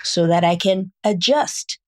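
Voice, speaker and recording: A woman's voice: medium pitch, measured pace. A woman from Cameroon who is a reproductive endocrinologist, speaking as an interview guest in a blunt, plain-spoken, joking way. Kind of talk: casual interview conversation.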